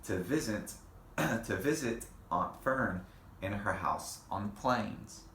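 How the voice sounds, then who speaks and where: A man teaching young children in a read-aloud lesson, speaking in simple, deliberate phrases and putting questions to them. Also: on the low side, lively intonation, fairly bright tone. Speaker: elementary school teacher. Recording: echoey room with faint background noise.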